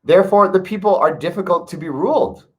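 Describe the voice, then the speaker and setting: A man slowly reading an old philosophical text aloud in a measured, pausing way, stopping now and then to add casual remarks of his own. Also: sits high. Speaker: reader of a classic text. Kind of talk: slow reflective reading with asides.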